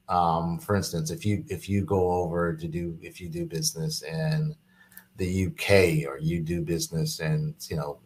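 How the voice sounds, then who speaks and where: A man who is a business executive guest in an interview, explaining steadily with many fillers and quick affirmations. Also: sits low. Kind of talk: casual interview chat.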